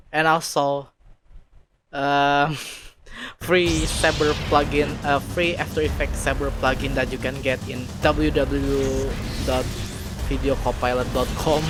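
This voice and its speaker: Slow, halting man doing reaction commentary. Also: a little high, noisy background. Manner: halting, non-native-sounding phrasing. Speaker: video editor reacting to game trailers.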